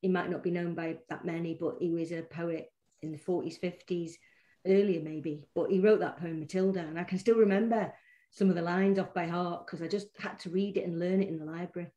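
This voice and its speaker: A middle-aged woman with a Mancunian accent chats easily as an interview guest. Her voice sits fairly low and sounds mellow and soft. She rambles warmly, circling back with fillers and restarts. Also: fairly even intonation, fairly quick, dull audio. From Manchester, England. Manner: chatty and warm, many restarts and fillers. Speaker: poet and guest. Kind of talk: casual interview chat.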